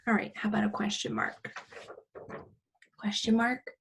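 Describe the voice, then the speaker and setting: A woman teaching a children's English lesson, explaining in simple, deliberate phrases and posing questions to her pupils. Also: mid-range voice, little pitch movement, slight background noise.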